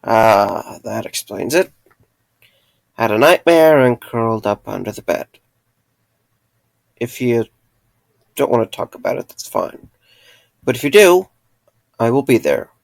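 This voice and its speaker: A man playing a gentle, reassuring former night worker in an amateur audio drama, speaking with a hesitant, stammering delivery. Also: mid-range voice, lively intonation, measured pace, vocal fry, long pauses, no room sound.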